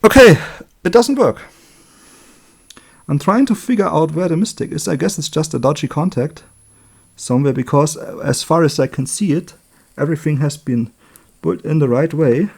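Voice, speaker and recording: A man with a moderately high-pitched voice presents a hands-on electronics demonstration. He speaks without a script, as a hobbyist would at the workbench. His remarks come in short, halting pieces as he thinks aloud. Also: expressive, vocal fry, darkish tone, slightly rough.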